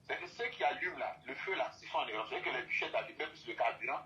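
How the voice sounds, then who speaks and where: A man speaks in a forceful, bright voice, pressing his points by circling back over the same phrases. The sound is dull and lo-fi, like a broadcast recording. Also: mid-range voice, animated, fast. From Côte d'Ivoire. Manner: emphatic, repeats and restates phrases. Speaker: guest speaker. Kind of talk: impassioned opinion talk.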